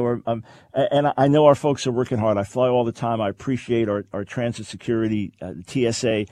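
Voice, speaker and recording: A man's voice: fairly high pitch, a little mellow, dry recording. American man hosting talk radio, dry studio sound. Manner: conversational, rhetorical questions, acts out examples. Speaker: radio talk show host. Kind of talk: unscripted talk radio commentary.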